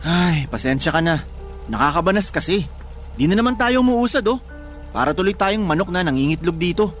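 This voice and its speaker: An actor playing a hot-headed, joking husband with a Filipino accent in animated, colloquial radio drama dialogue. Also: male voice, high voice, a little fast, soft background music. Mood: annoyed. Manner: animated, colloquial, exasperated or teasing.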